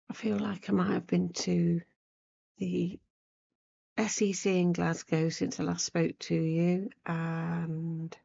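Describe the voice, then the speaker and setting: A woman with a croaky, hoarse voice from a cold, giving a casual, chatty craft tutorial and thinking aloud as she goes. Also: lowish voice, dark tone, lo-fi sound. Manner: unscripted, rambling, thinking aloud while working. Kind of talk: casual chatty needlework demonstration.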